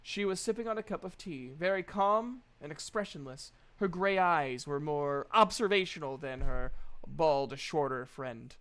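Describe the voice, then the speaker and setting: A man with a smooth, fairly high voice and a Southern American accent runs a fantasy tabletop game. He narrates scenes to his players as game master, in a clean, resonant tone. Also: lively intonation, unhurried, a bit bright.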